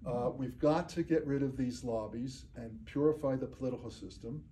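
A man's voice: medium pitch, normal speed, warm tone, a little hiss or hum. An older man speaking at a lectern without a microphone, answering audience questions in a blunt, emphatic way and often checking with "okay?". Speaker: speaker giving a talk. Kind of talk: lecture and audience Q&A. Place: community meeting room. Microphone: far camera, no microphone.

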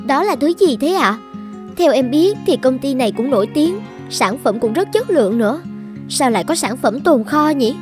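Woman acting a high-voiced, eager young trainee. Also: animated, moderate pace, over music. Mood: curious. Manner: polite, inquisitive. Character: curious, eager young female creative trainee. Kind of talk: dubbed cartoon dialogue.

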